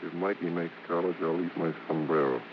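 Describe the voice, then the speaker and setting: An American man playing a stubborn, wisecracking vaudeville father in a gruff, slangy old radio drama style. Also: sits low, fairly even intonation, a little fast. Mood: grudging sarcasm. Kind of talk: 1940s-50s radio acting. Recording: muffled, noisy old radio broadcast.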